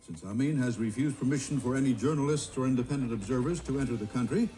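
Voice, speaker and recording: A man reading a formal broadcast news report in a measured newsreader manner, from an archive clip. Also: medium pitch, mellow.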